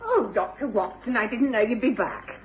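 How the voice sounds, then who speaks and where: A woman's voice: fairly high pitch, expressive, normal speed, lightly acted. An actress playing a bustling, motherly, fussy housekeeper with a British accent in a radio drama. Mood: fussing, chatty. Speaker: supporting actress. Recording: noisy, tinny old radio recording.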